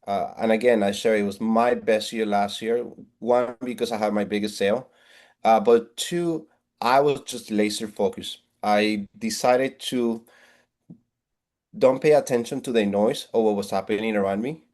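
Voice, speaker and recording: A man in his forties with a Puerto Rican Spanish accent and a fairly low voice. He speaks earnestly and motivationally, restarting phrases as he goes. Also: normal speed, a little mellow. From Puerto Rico. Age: 40s. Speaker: real estate agent guest. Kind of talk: interview on video call.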